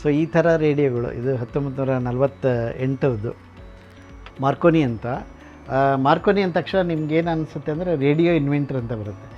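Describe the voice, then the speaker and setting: A man giving an informal guided explanation as a collector and restorer, haltingly, with pauses and English words mixed in. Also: mid-range voice, darkish tone. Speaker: vintage radio collector and restorer. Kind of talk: informal explanatory museum tour to camera. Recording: dull, lo-fi sound with music behind. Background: background music.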